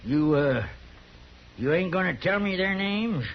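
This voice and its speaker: An actor playing a stubborn old prairie drifter with hesitant, folksy frontier speech in a 1950s radio Western. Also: male voice, sits high, animated, unhurried. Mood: grimly determined. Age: older. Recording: dull, noisy 1950s radio recording.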